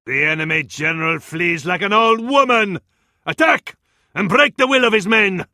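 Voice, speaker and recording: An actor with an Arabic accent playing a fervent, scornful medieval advisor to a sultan in a video game, in a florid, declamatory style. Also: male voice, high voice, expressive, moderate pace, bright, forceful tone, dry recording, studio quality, exaggerated voice. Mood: fierce, contemptuous. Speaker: battle advisor in a strategy game. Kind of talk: theatrical video game voice acting.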